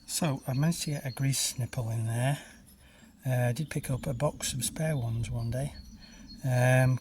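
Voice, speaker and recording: A farmer casually talking through a machine repair, thinking aloud with frequent ums and sounding a bit chesty. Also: male voice, mid-range voice, a little mellow, slight background noise. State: a bit chesty after illness. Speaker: farmer and video maker.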